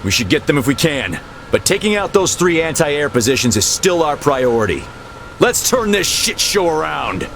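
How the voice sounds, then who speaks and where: An actor playing a bold, decisive military captain in a game cutscene, with a brisk, confident, commanding delivery. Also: male voice, fairly high pitch, sing-song, a bit bright, a little hiss or hum. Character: bold, decisive young male army captain rallying his men. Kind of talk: video game cutscene acting.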